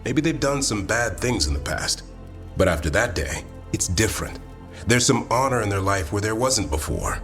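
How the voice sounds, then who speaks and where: An actor playing a wry, earnest warrior of an honorable fantasy order in a video game, with dry, measured delivery. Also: male voice, on the low side, animated, fairly bright tone, soft background music. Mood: earnest, stirring.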